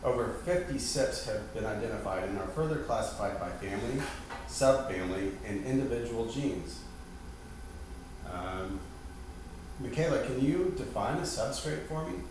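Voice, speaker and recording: A man's voice: mid-range voice, expressive, normal speed. A man giving a scripted, formal lesson as an instructor, stumbling now and then over technical terms. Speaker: instructor leading a staged class. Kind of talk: scripted lesson presentation, reading aloud. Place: reverberant indoor room, distant microphone. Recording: very echoey room, far mic, loud background hiss.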